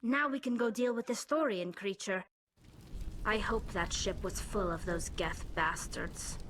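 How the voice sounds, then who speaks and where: A woman's voice: medium pitch, a little slow, noisy background, natural voice. A woman playing a tough, plain-spoken soldier in a space squad in a video game, matter-of-fact and hard-edged. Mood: grim, determined. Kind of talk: scripted game dialogue.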